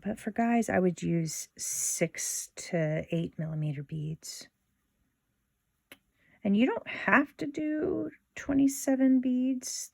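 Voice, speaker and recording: A woman guides a craft tutorial in a slow, slightly low voice. Her tone has a lot of fry in it. She is informal and thinks aloud as she works through each step. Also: a little mellow, no echo.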